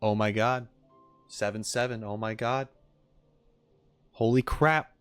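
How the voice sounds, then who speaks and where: A man doing casual live reaction commentary as a streamer, thinking aloud in an expressive way. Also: lowish voice, slow pace, clear, resonant tone, dry recording, faint music behind.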